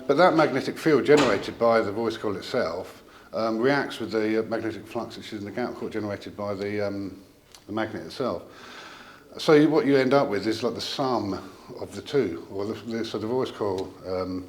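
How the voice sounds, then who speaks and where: A man with a fairly low voice talks through technical equipment informally, as a company representative would. His delivery is halting, often pausing and trailing into asides. There is noticeable noise in the room behind him. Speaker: audio company representative presenting a product. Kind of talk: informal technical product explanation.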